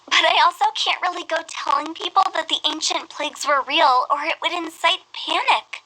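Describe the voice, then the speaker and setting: A woman's voice: extremely high pitch, normal speed, bright, forceful tone, rough recording. A woman playing a playful, confident young funeral director in an anime-style fantasy game, with a theatrical, knowing manner. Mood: assured, explanatory.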